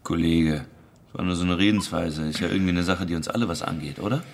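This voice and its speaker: Smug, gravelly, deep-voiced policeman. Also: fast talker. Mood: evasive, casual. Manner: glib, patronising. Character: smug, evasive male state security policeman, falsely jovial. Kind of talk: naturalistic radio drama dialogue.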